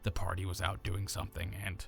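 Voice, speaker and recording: Very deep-voiced man with natural gravel. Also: completely flat delivery, brisk, faint music behind. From American South. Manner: casual, rambling, many fillers and restarts. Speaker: voice actor. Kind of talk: explaining how he builds a character voice.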